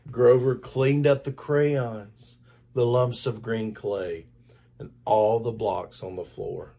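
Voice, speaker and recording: An older man reading a children's story aloud in a deliberate, expressive storytelling manner. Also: mid-range voice. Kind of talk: scripted story narration read aloud to children.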